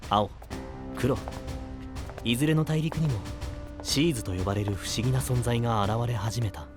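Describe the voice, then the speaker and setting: A man playing a composed young knight in an anime-style voice drama, explaining things in a measured, confident way. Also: medium pitch, close to an everyday voice. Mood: grave, explanatory. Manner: measured, explanatory, with deliberate phrasing. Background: prominent background music.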